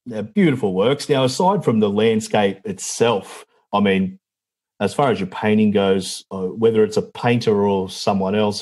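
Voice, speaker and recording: Young Aussie host, somewhat low voice, easygoing and chummy. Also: male voice, darkish tone. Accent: Australian. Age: young adult. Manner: laid-back, matey, lots of "yeah, right". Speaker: interview host. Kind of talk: casual interview chat.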